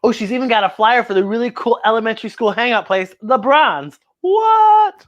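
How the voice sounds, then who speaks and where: A woman hosting a children's story time, excitable and playful, with exaggerated expressive storytelling. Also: on the high side, moderate pace, lo-fi sound.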